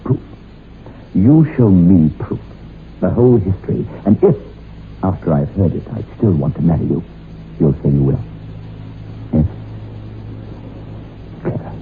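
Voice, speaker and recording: An actor playing an ardent, insistent suitor, pressing and impatient, in an old-time radio drama. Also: male voice, sits low, expressive, unhurried, long pauses, a little hiss or hum. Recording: dull vintage radio recording with music.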